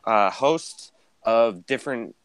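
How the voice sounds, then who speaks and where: A man in his twenties bantering in a casual group chat, teasing and quick to get defensive. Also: mid-range voice, clear, resonant tone, no echo. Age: 20s. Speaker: podcast co-host.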